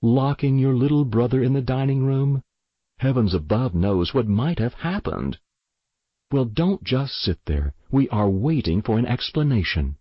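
A man's voice: medium pitch, expressive, a little slow, slightly rough, no echo, muffled recording, voice pushed up. A man voicing an exasperated, melodramatic mother who scolds indignantly in a story reading. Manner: indignant, dramatic.

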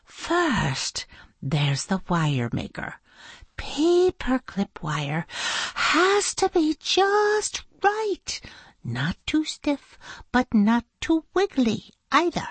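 A woman's voice: big pitch swings, extremely slow, no echo, exaggerated voice. A woman doing a stern, exasperated schoolteacher. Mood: admiring. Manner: high, exasperated, drops to a tense whisper. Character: stern woman schoolteacher fussing over paperclips. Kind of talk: storybook reading.

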